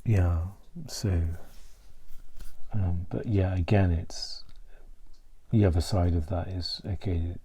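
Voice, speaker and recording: A man speaking softly and haltingly in a confessional vlog, searching for words and at times trailing toward a whisper. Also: low voice, fairly even intonation, husky. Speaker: mental health vlogger.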